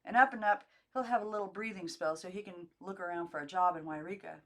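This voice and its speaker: A woman's voice: fairly low pitch, fast, a bit bright. Woman reading as an easygoing, folksy gold miner. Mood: matter-of-fact. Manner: folksy, hearty, clipped phrases. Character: easygoing, plain-spoken male gold miner. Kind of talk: audiobook character dialogue.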